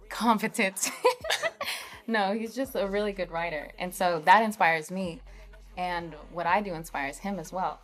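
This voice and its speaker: A woman singer talks loosely in an interview, laughing and filling with "like" and "you know". Her voice sits low. Faint music runs beneath. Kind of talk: casual interview answers.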